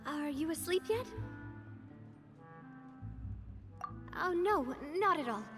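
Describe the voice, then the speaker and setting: An actress playing a cheerful, gracious young woman in a video game, speaking gently. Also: extremely high pitch, lively intonation, moderate pace, music in the background. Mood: cheerful, inviting. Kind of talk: video game character acting.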